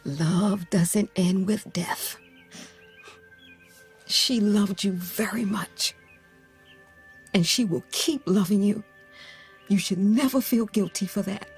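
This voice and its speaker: Bereaved middle-aged mother, breathy husky voice, unhurried, gentle and earnest, audio drama, soft music behind. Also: medium pitch. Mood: tender, consoling. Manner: slow, gentle, earnest delivery with pauses. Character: grieving, consoling mother who lost her teenage daughter. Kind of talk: naturalistic audio drama acting.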